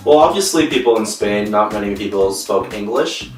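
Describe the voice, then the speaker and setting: A man's voice: on the low side, expressive, moderate pace, faint music behind. A Canadian man answering interview questions in a plain, earnest way with some fillers. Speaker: co-host and guest player. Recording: echoey room from far off.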